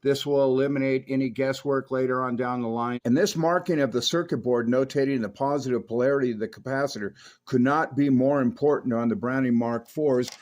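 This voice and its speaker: A man's voice: mid-range voice, steady tone, brisk, a little mellow. A man narrating an instructional demonstration as a radio repair technician.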